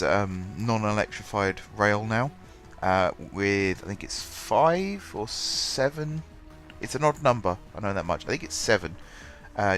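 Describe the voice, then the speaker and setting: A man's voice: on the low side, fairly bright tone. Congested man with a cold. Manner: rambling, many ums and restarts. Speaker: gaming channel host. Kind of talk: casual gameplay commentary. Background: faint background music.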